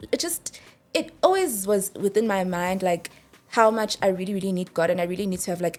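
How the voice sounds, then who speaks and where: A woman, reflecting casually on herself as an interview guest. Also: fairly low pitch. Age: early 20s. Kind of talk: casual chat, personal reflection.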